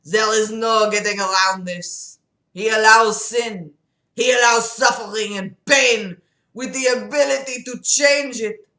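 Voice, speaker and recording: Male asylum patient played by a woman, smug, bitter zealot, storybook read, emphatic and unhurried, roomy echo. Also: low voice. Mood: bitter preaching. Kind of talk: improvised voice in a story reading. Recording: roomy, echoing sound.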